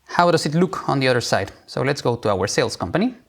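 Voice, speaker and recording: A man presenting a live software demo, explaining it informally step by step. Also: mid-range voice, animated, quick pace.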